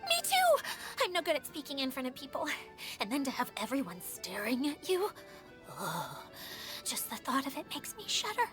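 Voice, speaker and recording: A woman playing a timid, excitable, girlish character in a fantasy video game. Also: sits high, normal speed, faint music behind, heavily acted.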